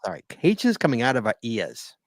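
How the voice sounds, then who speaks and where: An American man hosts a podcast in casual, joking banter, his pitch swinging up and down as he teases his guests. His voice sits at a middling pitch. It is recorded close and dry, with no room sound. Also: no echo. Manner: lively, jokey, fast-paced. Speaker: podcast host.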